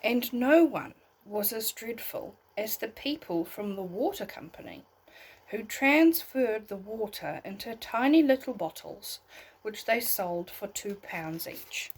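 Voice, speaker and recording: A woman reading a bedtime story aloud in an expressive way, as if talking to young listeners. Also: a little high, slow, slight background noise, poor audio. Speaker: storybook reader.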